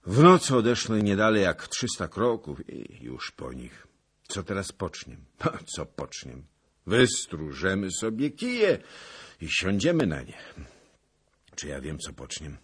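A man doing a portly old nobleman, boastful and blustering, who laments and grumbles in grand, old-fashioned phrases. The voice is husky and pitched higher than the reader's own deep tone. It sounds like a theatrical figure in a storybook reading. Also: big pitch swings, a little slow, full character voice. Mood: lamenting. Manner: bombastic, lamenting, archaic nobleman's speech.

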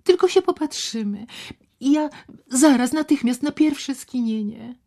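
A woman's voice: sits high, animated, measured pace, creaky, warm tone, voice pushed up, exaggerated voice. A woman voicing a timid young woman in love who pleads in a whisper. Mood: pleading.

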